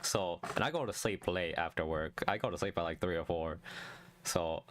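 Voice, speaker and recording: A young man streaming a casual solo chat, calm and low-energy, talking close to the mic and rambling with many restarts. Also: moderate pace. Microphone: close desk mic.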